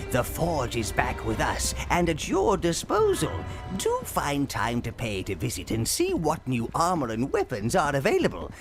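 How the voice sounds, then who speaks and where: An actor playing a sly, greedy old minion who advises a dark lord in a video game, fawning and sardonic, with music behind. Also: male voice, on the high side, heavily acted. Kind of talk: theatrical video game character acting.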